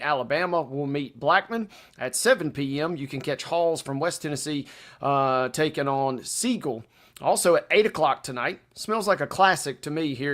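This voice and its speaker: An American man with a moderately high voice co-hosts a sports show. He runs through the schedule of games and start times in broadcast sportscaster phrasing. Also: fairly bright tone.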